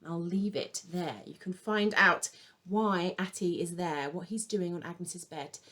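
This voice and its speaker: A woman author reading from her own children's book in an expressive storytelling style. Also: on the low side, unhurried.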